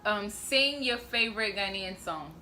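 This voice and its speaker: A woman asks brief, easygoing questions from the side of a casual vlog. Her voice is bright and clear. A faint hiss runs under the recording. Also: medium pitch, expressive, unhurried. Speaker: vlog co-host asking questions. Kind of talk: casual interview.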